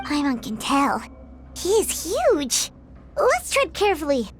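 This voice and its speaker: A woman's voice: sits high, huge pitch swings, a little slow, full character voice. A woman voicing a tiny floating fairy guide in a video game, bubbly and chatty, who talks of herself by name. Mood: cautious. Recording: game audio with music underneath.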